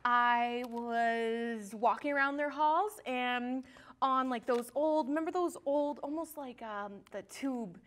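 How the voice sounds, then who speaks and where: A woman with a high voice chats casually as a show guest. She comes across as bubbly and excitable, with a giggly, informal way of talking. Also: slow pace. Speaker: guest, studio production coordinator. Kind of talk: casual chat.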